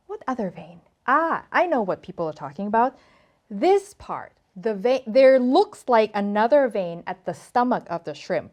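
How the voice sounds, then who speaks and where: Female cooking presenter from Thailand, mid-pitched voice, informal and chatty, playful emphasis, explaining technique to viewers. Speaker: cooking show host. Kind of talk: casual cooking tutorial to camera.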